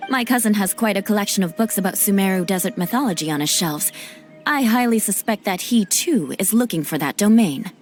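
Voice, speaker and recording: A woman's voice: medium pitch, animated, fairly quick, a little mellow, soft background music, lightly acted. A woman playing a proud, dutiful noblewoman knight in a video game, formal and composed, with a touch of haughtiness. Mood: composed, resolute. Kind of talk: animated game cutscene acting.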